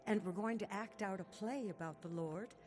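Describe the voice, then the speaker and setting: A woman's voice: medium pitch, normal speed, darkish tone, slight background noise, natural voice. An actress playing a friendly, encouraging young woman in a radio drama, upbeat and persuasive.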